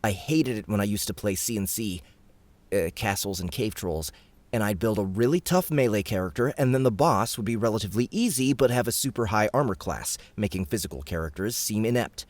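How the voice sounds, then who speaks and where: Male narrator as cheeky young villain gamer, audiobook; excitable, pitched up, dry studio sound. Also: a little fast, dry recording, natural voice. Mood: excited. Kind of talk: audiobook character acting.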